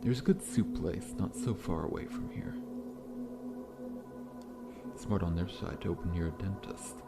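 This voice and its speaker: Man voicing a deep, slow-talking scorpion-man dentist. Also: gravelly. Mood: soothing, friendly. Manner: gentle, reassuring, softly chuckling, formal-polite. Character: massive friendly scorpion-man dentist, calm, faintly menacing. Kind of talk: ASMR roleplay. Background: background music.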